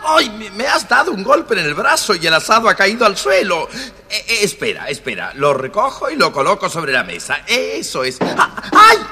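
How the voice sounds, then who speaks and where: A cowardly, chattering young fiddler from a storybook. His bright, highish voice swings wildly, repeating and exclaiming in unhurried bursts, with long reverb around it. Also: huge pitch swings, slight background noise, full character voice. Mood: pained, alarmed. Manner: stammering, repetitive, exclamatory. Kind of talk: audio storybook character acting. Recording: long reverb, faint music behind.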